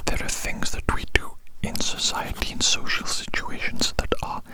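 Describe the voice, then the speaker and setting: Close-up whispering ASMR man. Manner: whispers throughout, repeats phrases slowly and reflectively. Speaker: ASMR video creator. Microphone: very close ASMR microphone. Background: scratchy drawing sounds.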